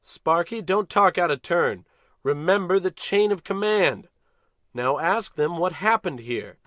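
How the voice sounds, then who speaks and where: An actor playing a pompous, dim-witted, bossy American spaceship captain in a comedic old-style radio play. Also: male voice, sits high, lively intonation, a little slow, a bit bright, dry recording, studio quality. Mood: smug, commanding. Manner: pompous, bossy, self-important.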